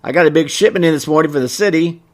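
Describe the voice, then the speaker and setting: Man putting on a high-pitched folksy storekeeper. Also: very fast, close to an everyday voice. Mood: helpful. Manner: beaming, folksy sales patter. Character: folksy small-town male storekeeper, outwardly cheery and obliging.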